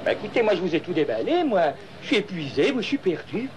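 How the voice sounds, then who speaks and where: A man's voice: sits high, big pitch swings, racing. An actor playing a flustered man at the end of his rope in a comic film, with a plaintive manner. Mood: exhausted. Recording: noisy old film soundtrack.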